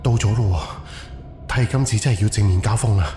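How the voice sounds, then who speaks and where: A man's voice: fairly low pitch, fast talker, dark tone, slight background noise, lightly acted. A man playing a wary, analytical haunted-house investigator thinking aloud in an audio drama, with a musing, questioning delivery. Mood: tense, questioning. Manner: musing, questioning, muttered self-talk. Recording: echoey reverb, music underneath. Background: background music.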